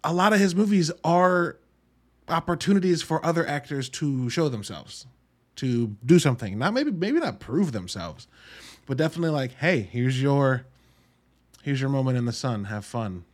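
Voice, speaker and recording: A man hosting a podcast, giving an informal, wry solo review in an emphatic, halting way with drawn-out words. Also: mid-range voice, sing-song. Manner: informal, wry, mildly profane. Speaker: podcast host reviewing films. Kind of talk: casual solo review monologue.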